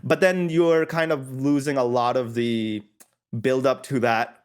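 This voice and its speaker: A man's voice: mid-range voice, steady tone, a little slow, clear, resonant tone. A man co-hosting a casual podcast, talking in long rambling turns with restarts and frequent laughter. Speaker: podcast co-host. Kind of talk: casual chat about tabletop games.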